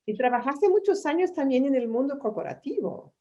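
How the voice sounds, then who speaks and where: A woman hosting an interview, responding with warm, affirming remarks. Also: high-pitched, very animated, a little fast. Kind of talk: conversational interview. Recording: dull lo-fi audio.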